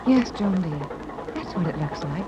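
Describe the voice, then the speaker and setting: A low-voiced, gracious boat commander. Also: female voice, lively intonation, a little slow. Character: gracious, anxious mother commanding a rescue boat. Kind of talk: 1930s radio acting. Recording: muffled, noisy old 1930s radio recording.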